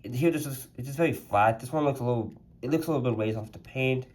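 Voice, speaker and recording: Chatty guy, a bit congested, rambling about his toy custom, lots of "like", average pitch. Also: fast, a little mellow. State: slightly congested from allergies. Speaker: toy collector reviewing a custom die-cast car. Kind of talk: casual review vlog.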